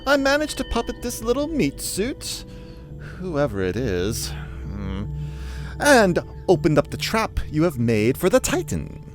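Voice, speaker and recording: A gleeful, wicked spirit who puppets others in a fantasy audio drama. The phrasing is florid and mocking, drawn out slowly and swinging hugely in pitch. Music plays under the voice. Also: huge pitch swings. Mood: smug, mocking. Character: gleeful, theatrical, wicked puppet-master spirit, not technically demon.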